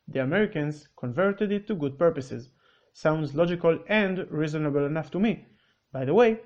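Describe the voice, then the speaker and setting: A man's voice: a little high, a little mellow, lo-fi sound. A man whose first language is Hebrew, hosting a commentary video with curt, corrective, matter-of-fact scripted narration. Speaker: commentary host.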